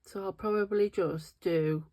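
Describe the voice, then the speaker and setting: A woman's voice: mid-range voice, fairly even intonation, a little slow, dark tone. A middle-aged woman with a sniffly, nasal voice, chatting casually and thinking aloud as she works through a craft tutorial. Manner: chatty, thinking aloud while working with her hands. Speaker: resin craft video host.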